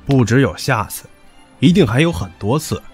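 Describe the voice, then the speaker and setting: A man's voice: medium pitch, sing-song, normal speed, a little mellow, soft background music, lightly acted. An actor in an audio drama playing a pushy, teasing young man who talks crudely and with swagger.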